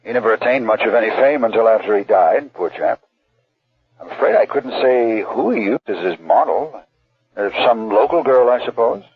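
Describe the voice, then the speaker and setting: A polite, evasive art auctioneer in a radio drama. His courteous, hedging speech swings widely in pitch with a rough edge, heard through a tinny telephone line. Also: expressive, normal speed. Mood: courteous, guarded.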